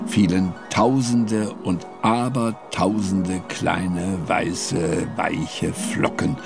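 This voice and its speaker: A man narrating a fairy tale in a calm, storytelling audiobook reading. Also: low voice. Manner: slow, lively storytelling with gravelly tone. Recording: studio read with clear music bed. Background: music.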